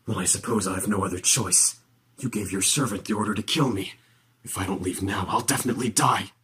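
A man's voice: on the low side, brisk, fairly bright tone, slight background noise, natural voice. A man voicing a haughty vampire villain, boastful but cowardly, with a dramatic, scornful delivery in an audio roleplay. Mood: grudging. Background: background music.

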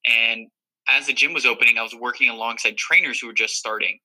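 Young male interview guest from Miami, very bright tone, earnest and reflective, lots of fillers. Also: mid-range voice, steady tone, quick pace, rough recording. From Miami, Florida. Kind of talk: casual interview talk.

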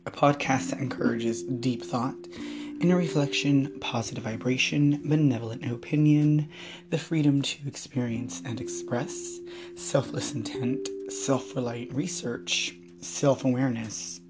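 Hoarse man musing slowly over guitar. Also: mid-range voice, low-quality recording. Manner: slow, wandering, spiritual musings with laughs. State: hoarse, weakened voice. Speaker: podcast host. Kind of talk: reflective solo podcast talk.

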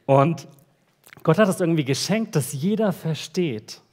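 A man preaching a church sermon in a relaxed, conversational way with casual slang, reading Bible verses in between. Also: on the high side, a little slow. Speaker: pastor. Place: church service.

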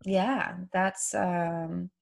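Casting: gravelly, low woman in her 40s, Farsi her first language, lively chat host who swears casually. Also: very slow. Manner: animated, laughs often, swears casually. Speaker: author and podcast host. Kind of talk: casual conversational interview.

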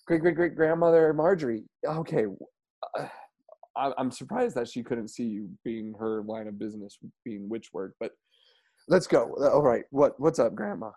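A man's voice: mid-range voice, moderate pace, warm tone, close to an everyday voice. A man voicing a nervous, stammering young man in an improvised audio comedy. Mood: flustered. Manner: stammering, flustered.

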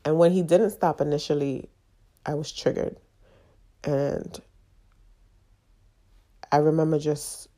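A woman with a low, gravelly voice talks in a candid podcast monologue, stuffed up and nasal from a lingering cold. Her tone is dark and mellow, and she speaks haltingly and confessionally, with plenty of fillers. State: congested from a long cold. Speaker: podcast host.

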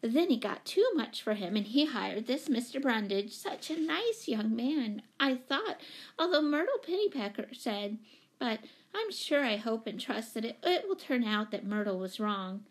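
Woman doing a nervous chatty lady from a storybook, really high, fussy, kinda rambles. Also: expressive, moderate pace, poor audio. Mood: flustered, eager. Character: fluttery, anxious, chatty woman, apologetic and eager.

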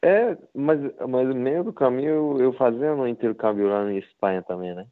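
A man whose first language is Japanese, speaking halting Portuguese with a Japanese accent and many fillers in a casual interview. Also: medium pitch. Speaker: interviewed footballer. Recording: tinny phone-line sound.

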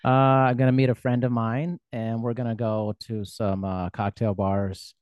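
A relaxed male podcast host with a middle-pitched voice who rambles through his thoughts with fillers. The recording is dry and close, with no room sound. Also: normal speed, dry recording. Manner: relaxed, rambling, laughs while talking. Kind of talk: casual podcast conversation.

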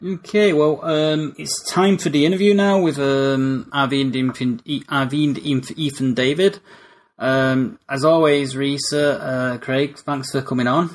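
A man's voice: medium pitch, fairly even intonation, measured pace. A man hosting an interview show, hesitant with many ums and restarts. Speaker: podcast host.

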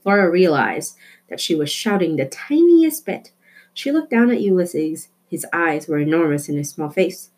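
Woman reading a kids novel out loud, bit low, husky. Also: very animated, moderate pace. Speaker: storybook reader. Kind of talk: scripted read-aloud narration of a children's novel.

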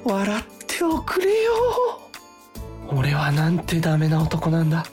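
A man's voice: on the high side, huge pitch swings, measured pace, a little mellow. Over background music, a melodramatic young male office worker. He pities himself and talks through his inner thoughts in overwrought, theatrical style, as in a comic radio play. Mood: despairing. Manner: overwrought, dramatic self-talk. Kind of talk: comic radio drama acting with inner monologue.

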